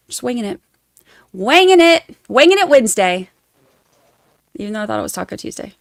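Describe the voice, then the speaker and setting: A woman's voice: a little high, very animated, moderate pace, pauses often, dry recording, studio quality. A woman with a Southern Georgia accent hosting a casual livestream, rambling and self-deprecating as she chats to her viewers. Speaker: livestream host covering trials.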